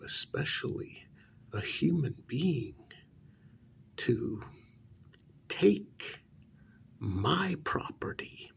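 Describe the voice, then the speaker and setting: A man voicing a cruel, haughty vampire in an ASMR role-play, gravelly and breathy, often sinking into a whisper, with a theatrical, condescending delivery. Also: mid-range voice, fairly bright tone, full character voice. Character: cruel, haughty high-society male vampire, menacingly amused.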